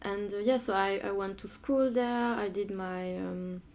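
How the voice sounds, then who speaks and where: A woman's voice: mid-range voice, fairly even intonation, unhurried, a little mellow. A young woman from Mauritius with a French accent, talking hesitantly in a casual interview in a living room. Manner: hesitant, searching for words, laughs often. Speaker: artist guest. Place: living room studio with armchairs.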